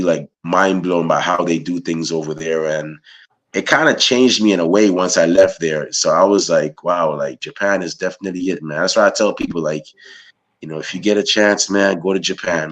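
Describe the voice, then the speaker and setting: A man from Guyana with a very low voice hosts a casual interview. He rambles his way into each question, padding it with "you know" and "man". He sounds easygoing and chatty. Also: moderate pace, fairly bright tone. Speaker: interviewer, podcast host.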